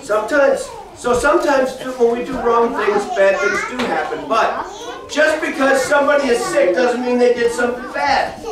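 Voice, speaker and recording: A middle-aged man teaching a children's Bible lesson, animated and talking straight to the kids, often repeating his phrases. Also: very high voice, moderate pace, crowd noise. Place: large classroom with kids seated. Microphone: far camera, no mic seen. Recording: echoey room from far off, kids chattering.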